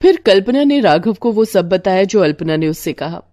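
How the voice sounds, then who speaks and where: A woman's voice: medium pitch, expressive, normal speed, warm tone, dry recording, clean studio sound. A woman narrating a scripted moral story as a storyteller.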